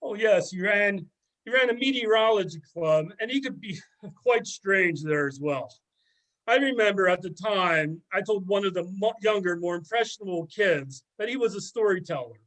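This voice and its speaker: Sardonic middle-aged ex-student, played by a man, high male voice, gossipy and mocking, amateur readers theater. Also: lively intonation, moderate pace, fairly bright tone, rough recording. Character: sardonic middle-aged former student dishing on an old teacher. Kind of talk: amateur readers theater, conversational script reading.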